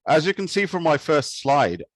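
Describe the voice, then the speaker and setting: A man from Kenya giving an academic talk with slides, speaking in a measured, thoughtful way with occasional restarts. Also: on the high side, sing-song, dry recording. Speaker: conference speaker.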